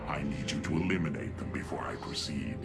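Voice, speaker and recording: A man playing an arrogant, ruthless alien warrior hungry for power in a video game, slow and theatrical, with a gravelly voice. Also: extremely low pitch, loud music behind the voice. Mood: cold, instructive. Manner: slow, theatrical, imperious, sneering. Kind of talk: video game cinematic voice acting.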